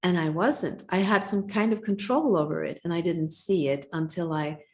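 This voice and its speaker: A middle-aged woman speaks slowly and thoughtfully, almost like meditating, in a live online chat. Her voice sits a little low. She sounds calm and grounded over a video call. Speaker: co-host and coach. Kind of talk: reflective live-stream conversation.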